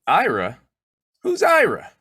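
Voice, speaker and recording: A man plays a blustering, teasing husband in an old radio comedy. His pitch leaps about with folksy, excitable emphasis, and the sound is dry and close. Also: slow, breathy edge, dry recording, heavily acted. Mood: amused curiosity. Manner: folksy exclamations, swooping emphasis.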